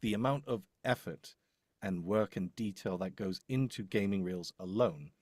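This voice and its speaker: Low-voiced man, rambling and explaining. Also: moderate pace, a little mellow, dry recording, studio quality. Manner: rambling, hesitant, thinking aloud. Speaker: voice-over demo producer. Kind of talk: casual explanatory chat.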